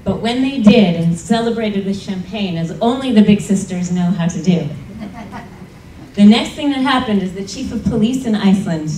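A woman's voice: mid-range voice, expressive, moderate pace, warm tone, a little hiss or hum. A woman telling a personal story earnestly to a panel audience, with emphatic moments. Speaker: panel speaker. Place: conference room. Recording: roomy conference hall audio from a distance.

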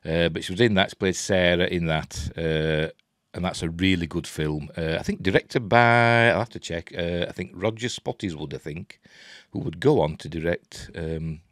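A man's voice: sits low, normal speed, fairly bright tone, dry recording, clean studio sound. A man in his sixties with a Yorkshire accent, giving casual news commentary in a hesitant, rambling way. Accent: Yorkshire English. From Yorkshire, England. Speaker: host of a film and TV news vlog. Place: small cluttered room at home, indoors.

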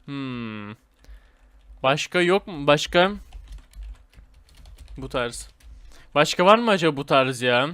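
High-voiced man doing gameplay commentary. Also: takes it slowly, long pauses, smooth, dry recording. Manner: informal slangy talk addressed to viewers, excitable. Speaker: gaming video host.

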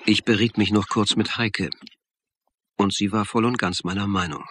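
A man's voice: on the low side, fairly even intonation, normal speed, fairly bright tone, a little hiss or hum, close to an everyday voice. An actor playing a brooding, suspicious police academy lecturer who tells his story in a German crime radio play, dry and wry. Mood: reflective, dry. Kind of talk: radio play narration and dialogue.

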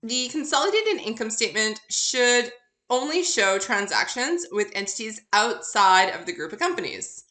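A woman's voice: on the high side, lively intonation, normal speed, sharp tone, roomy sound. A woman instructor giving an informal recorded lecture, explaining in a conversational way and posing questions to the listener. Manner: conversational, poses questions and answers them. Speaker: university accounting instructor.

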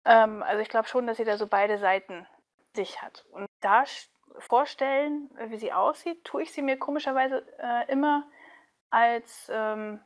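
A woman's voice: a little high, moderate pace. A woman who works as a voice actress, chatting casually and thoughtfully as an interview guest, with a giggly streak.

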